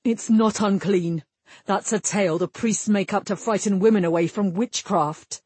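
A woman's voice: medium pitch, normal speed, darkish tone, slightly rough, no echo, close to an everyday voice. A woman voicing a proud, stubborn young prince who speaks in direct, archaic phrases in a fantasy audiobook. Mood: defiant. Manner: formal archaic speech, direct.

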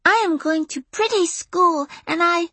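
Excitable high-pitched little preschool girl. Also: a little slow, no echo, full character voice. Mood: excited.

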